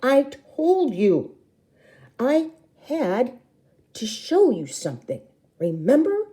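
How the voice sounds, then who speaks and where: A woman voicing a stubborn, bossy and excitable little sister in a storybook, with a bratty, insistent manner. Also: on the high side, slow, pitched above the performer's own voice, heavily acted. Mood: excited, insistent. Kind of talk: storybook character reading.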